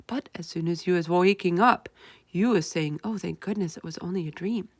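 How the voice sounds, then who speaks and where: A woman's voice: lowish voice, moderate pace, dry recording, clean studio sound. Woman voicing a stubborn, folksy, kindly storybook giant. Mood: dismissive. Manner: folksy, ungrammatical, mangled invented words. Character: stubborn, sad, kindly male giant, muddled speech. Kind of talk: children's storybook read-aloud.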